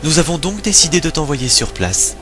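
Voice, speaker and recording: A man voicing a suave, formal military director, polite and measured, in an amateur video game dub. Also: on the high side, big pitch swings, over music. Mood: businesslike.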